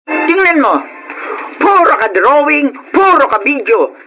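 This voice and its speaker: A woman's voice: high-pitched, sing-song, unhurried, bright, forceful tone, exaggerated voice. An actress playing a middle-aged, overworked Filipino mother in a radio drama, scolding and complaining. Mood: exasperated. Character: nagging, overworked mother, exasperated with her son. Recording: noisy radio drama track.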